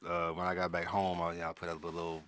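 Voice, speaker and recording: A man's voice: low-pitched, monotone, very fast, a bit bright. A man co-hosting a podcast in a laid-back, agreeable way, chatting casually about a movie. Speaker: podcast co-host. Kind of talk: casual movie review chat.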